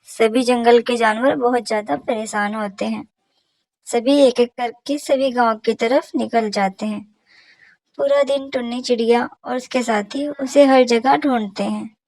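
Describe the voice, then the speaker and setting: High-voiced woman narrating an animal fable. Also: steady tone, unhurried, darkish tone, no echo, rough recording.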